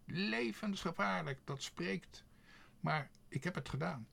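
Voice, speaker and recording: A man's voice: high-pitched, expressive, slow pace, vocal fry, slightly rough, voice pushed up, exaggerated voice. A man voicing a blustering, easily offended crow in a storybook, speaking sharply. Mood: boastful excitement. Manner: sharp, loud, blustering, stammers when worked up. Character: gruff, indignant, easily offended male crow.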